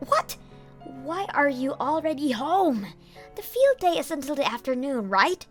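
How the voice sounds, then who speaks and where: A woman's voice: high-pitched, sing-song, measured pace, soft background music, voice pushed up. A woman voicing a brazen, testy, nonchalant female freeloader in a manga dub. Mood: startled. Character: brazen, nonchalant woman, freeloading in-law, irritated when challenged. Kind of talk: manga dub character acting.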